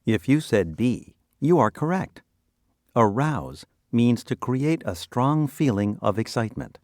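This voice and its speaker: A man presenting scripted educational quiz questions and answers with deliberate, instructional phrasing. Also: mid-range voice, expressive, darkish tone, dry recording, clean studio sound.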